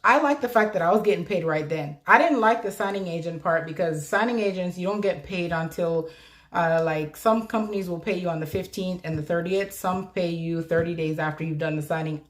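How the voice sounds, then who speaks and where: A woman from South Florida, a notary business mentor, chatting live with viewers in an upbeat way and laughing often. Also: on the low side. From South Florida, USA. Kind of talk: live-stream chat answering viewers.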